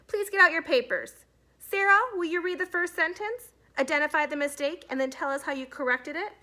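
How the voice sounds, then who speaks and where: Woman doing a storybook schoolteacher, female, stern, high, sharp, authoritative, emphatic. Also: brisk. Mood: brisk. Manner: emphatic, lecturing.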